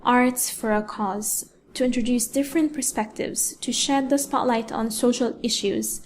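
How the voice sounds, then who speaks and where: A woman from Egypt, a pianist and educator, giving a prepared speech in a measured way with deliberate pauses. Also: a little high, fairly even intonation, slight background noise. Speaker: award-winning pianist and educator giving a talk.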